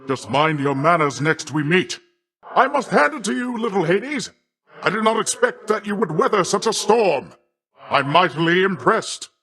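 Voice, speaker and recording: An actor playing a boastful, affectionate older uncle who is a sea god, pompous and theatrical, with grand old-fashioned wording, for a video game. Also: male voice, on the high side, a bit bright. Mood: offended, stern. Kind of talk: video game character voice acting.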